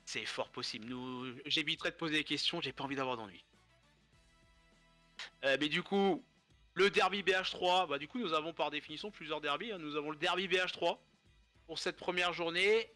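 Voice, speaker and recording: A man casting a live esports match, stuffy-nosed and hoarse from a cold, joking as he goes. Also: fairly high pitch, fairly quick, bright, forceful tone, soft background music. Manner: joking, rambling casual commentary. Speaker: esports caster.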